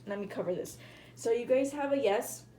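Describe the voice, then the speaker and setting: A woman with a hoarse, cracking voice, giving a casual tarot reading to viewers and often apologizing. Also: on the high side, moderate pace, dark tone, reverberant, slight background noise, rough recording. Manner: chatty, apologetic, hedging with fillers. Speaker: tarot reader.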